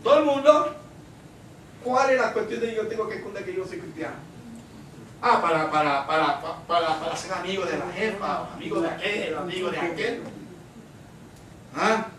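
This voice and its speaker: A Puerto Rican man delivers an emphatic sermon in a high voice. He repeats his phrases and throws questions out to the congregation. The sound is roomy and echoing, picked up some way from the microphone. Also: normal speed, pauses often, fairly bright tone, slight background noise. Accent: Puerto Rican Spanish. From Puerto Rico. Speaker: preacher. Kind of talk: Pentecostal Bible-study sermon. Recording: roomy echo, distant microphone.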